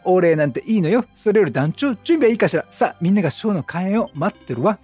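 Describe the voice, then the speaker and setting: A man's voice: sits high, expressive, fast, darkish tone, husky, soft background music. A man voicing a flamboyant, confident male traveling entertainer from a video game, with effeminate, theatrical speech. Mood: rallying.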